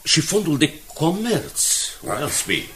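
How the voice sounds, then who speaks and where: An actor playing a troubled, stubborn and principled middle-aged newspaper owner in a radio drama, with an earnest, insistent delivery. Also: male voice, fairly high pitch, huge pitch swings, a bit bright, slight background noise. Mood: anxious, restrained. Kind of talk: Romanian radio drama acting.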